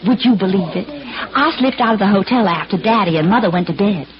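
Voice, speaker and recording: A woman's voice: mid-range voice, brisk, natural voice. An actress playing a young female detective's assistant, coy and theatrical in a 1940s radio style. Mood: coy gushing. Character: detective's sassy girl assistant, posing as gushing naive heiress. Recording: dull vintage radio recording.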